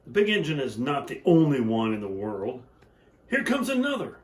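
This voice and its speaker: A man voicing a cheerful little male toy clown in a storybook reading, calling out in a high, eager voice. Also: wildly swooping pitch, moderate pace, roomy sound.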